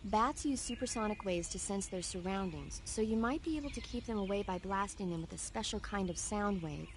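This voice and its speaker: A woman acts out a knowledgeable female support adviser from a video game. She speaks earnestly and informatively at a medium pitch. Clear outdoor noise of birds and animals sits behind the voice. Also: brisk, close to an everyday voice.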